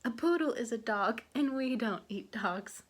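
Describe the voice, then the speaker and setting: A woman voicing a mother cat, firm but kindly, with a measured, gently scolding manner in a storybook. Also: on the high side, animated.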